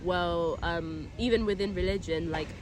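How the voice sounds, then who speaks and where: A young woman who is a native Somali speaker, talking about her work in a relaxed conversation. She talks thoughtfully and fills it with "like" and restarts. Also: lowish voice, moderate pace, background noise. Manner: chatty and thoughtful, many "like" fillers and restarts. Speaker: guest, zine maker. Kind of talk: casual conversation.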